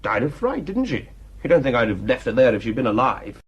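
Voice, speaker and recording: A man's voice: medium pitch, big pitch swings, fairly quick, slightly rough. An actor playing a cynical, defiant male painter in a radio drama, telling his tale wryly and without remorse.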